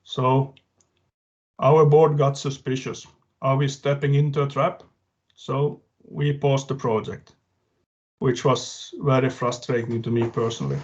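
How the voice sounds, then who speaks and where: A man's voice: medium pitch, little pitch movement, a little slow, darkish tone. Guy reading his company story on a webinar, muffled lo-fi sound, trips over a word now and then. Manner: reads steadily with small restarts. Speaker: company representative giving a case study. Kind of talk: prepared webinar talk. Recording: dull lo-fi video-call audio.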